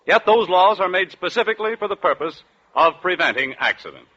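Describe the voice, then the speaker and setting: A man's voice: sits high, lively intonation, fairly quick, heavy background noise. A man announcing in a formal 1940s radio broadcast style. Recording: dull 1940s broadcast recording. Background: music.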